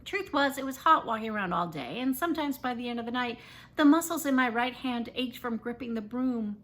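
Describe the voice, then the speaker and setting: A woman with a fairly high, very bright voice reads a middle grade story aloud. Her delivery is animated and expressive, told in the first person like dramatic storytelling for children. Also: fairly quick. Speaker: author reading aloud.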